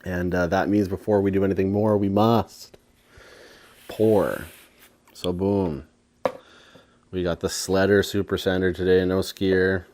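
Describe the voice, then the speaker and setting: Deep, mellow-voiced man chatting casually. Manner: laid-back, slangy, playful wordplay. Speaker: food and lifestyle vlogger. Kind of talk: casual eating vlog chat to viewers.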